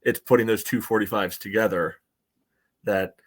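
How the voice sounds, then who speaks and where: An American man co-hosting a casual soccer podcast, breaking down play in a halting way full of verbal tics. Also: lowish voice, fairly even intonation, fairly bright tone. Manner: halting, peppered with "you know". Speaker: podcast co-host and soccer supporter. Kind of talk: casual sports analysis chat.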